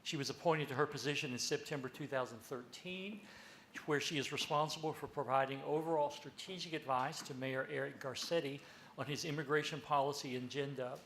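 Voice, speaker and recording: A man moderating a university panel discussion, introducing the speakers in a measured, polite way with occasional fillers. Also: a little high, steady tone, a bit bright, slight background noise.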